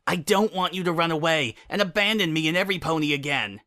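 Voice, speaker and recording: A man's voice: a little high, quick pace, pushed and bright, voice pushed up. A man voicing a brash young female pegasus pony, desperate and grieving, in a dramatic story reading. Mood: desperate, angry. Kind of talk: dramatic fan fiction reading.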